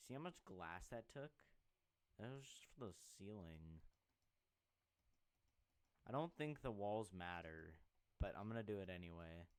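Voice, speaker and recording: A man's voice: low voice, expressive, measured pace, long pauses, a little mellow, clean tone. A man thinking aloud in an offhand way as he plays a game live. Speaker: gaming YouTuber playing live. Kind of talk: live gameplay talk.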